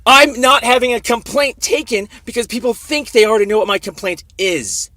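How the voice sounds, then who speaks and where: A man's voice: extremely high pitch, brisk, pushed and bright, no room sound. A man arguing his complaint on a phone call in an insistent, repetitive way, often talking over the other person. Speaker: complainant calling police internal affairs. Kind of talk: argumentative phone conversation.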